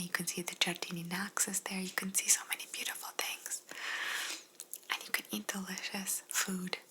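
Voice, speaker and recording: A young Canadian woman whispering softly through an ASMR question-and-answer chat. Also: medium pitch, slight background noise, poor audio. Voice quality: soft unvoiced whisper. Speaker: whispering Q&A host.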